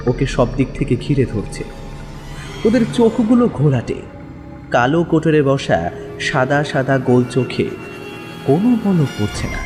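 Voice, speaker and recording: A man narrating a scripted horror audio story in a dramatic storytelling style. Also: on the high side. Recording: long reverb, music underneath.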